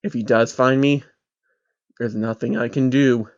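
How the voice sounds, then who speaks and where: A man's voice: medium pitch, steady tone, unhurried, dull audio. A man narrating as host of a dramatic creepypasta reading, telling a first-person story to listeners. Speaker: narrator, channel host reading fan stories.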